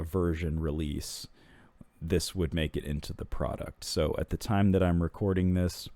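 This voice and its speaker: A man walking through software screens in a tutorial, explaining steps in an unhurried way with frequent ums and restarts. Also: low voice, no echo. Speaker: software product walkthrough presenter.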